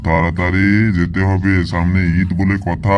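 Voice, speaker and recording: Man acting a pious working father, low-pitched, village cartoon, faint music underneath. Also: level tone, normal speed, lightly acted. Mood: earnest.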